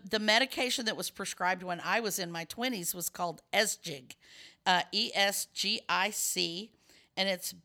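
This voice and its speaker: A middle-aged woman with a bright, forward tone, though her voice sounds scratchy today. She explains things in a loose, rambling way, circling back and restarting her sentences as she hosts a relaxed conversation. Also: medium pitch. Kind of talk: casual chat.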